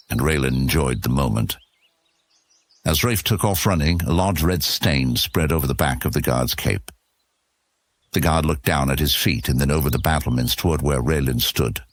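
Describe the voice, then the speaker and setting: A man's voice: rumbling low, no room sound. A man narrating a scripted fantasy story as the narrator of an audio serial.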